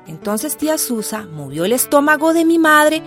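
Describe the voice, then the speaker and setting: A woman's voice: sits high, big pitch swings, normal speed. A woman narrating a radio drama as a grown villager fondly remembering childhood, tender and reflective. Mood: nostalgic, tender. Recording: music behind the voice.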